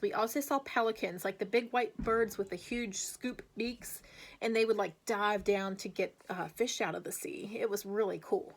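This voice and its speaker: A woman teacher reading aloud to young children with chatty asides, speaking deliberately and putting questions to her listeners in an encouraging way. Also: medium pitch, a little hiss or hum. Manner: slow, deliberate, emphatic when reading aloud.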